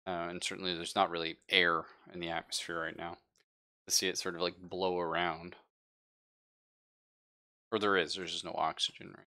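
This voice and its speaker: A man running a tabletop roleplaying game, describing scenes to players in a halting, conversational way. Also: sits low, little pitch movement, gravelly, sharp tone. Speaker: game master. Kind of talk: improvised narration of a live tabletop roleplaying game.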